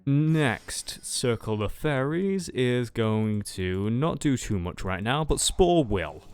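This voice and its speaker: A man's voice: medium pitch, lively intonation, slow, a little mellow, clean tone, dry recording. A man playing a casual, wry card duelist in a Minecraft anime roleplay, thinking aloud in an offhand, hesitant way over music. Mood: musing, mildly annoyed. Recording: music behind the voice.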